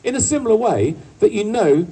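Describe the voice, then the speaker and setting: A husky speaker gives a lively protest speech outdoors, tossing in playful asides to the listeners. The voice is picked up from a distance, with a faint crowd in the background. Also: medium pitch, fairly quick. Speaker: rally speaker. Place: outdoor town square rally.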